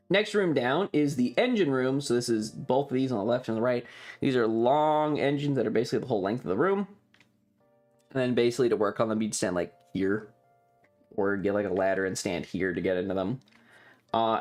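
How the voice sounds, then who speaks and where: A man talking casually as a streamer, describing things in an offhand, rambling, informal way with some swearing. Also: medium pitch. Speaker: streamer and host. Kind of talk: casual livestream chat, describing travel photos.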